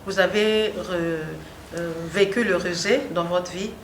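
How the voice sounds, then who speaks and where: A woman's voice: on the low side, fairly even intonation, a little slow. A woman interviewing a guest in a conversational way, attentively putting questions and rephrasing the answers. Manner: attentive, frequent short acknowledgements, rephrases answers. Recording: echoey room, faint background noise.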